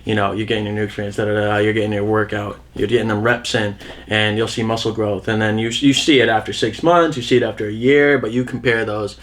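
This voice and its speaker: A man's voice: on the low side, steady tone, fairly quick, few pauses, fairly bright tone. A man in his early twenties, a musician guest, talking in a laid-back, easygoing way and thinking aloud. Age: 20s. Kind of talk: casual chat.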